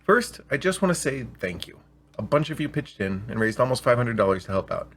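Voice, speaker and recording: A man with a slightly rough voice, hosting and reading scripted stories aloud in an expressive, deliberate way. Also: mid-range voice, slight background noise. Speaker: storyteller and show host. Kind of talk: scripted story reading with spoken intro.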